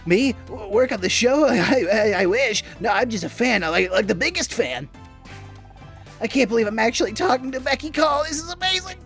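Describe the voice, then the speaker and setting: A man's voice: high voice, animated, moderate pace, creaky, few pauses, a bit bright, soft background music, pitched above the performer's own voice, exaggerated voice. A man voicing a nervous, starstruck young male superfan in a video game, stammering and hesitant. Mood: flustered.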